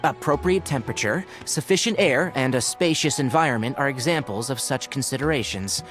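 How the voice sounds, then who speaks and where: A man voicing a gentle, scholarly young naturalist in an anime game, precise and dryly didactic. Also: mid-range voice, expressive, brisk, soft background music, lightly acted. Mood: instructive.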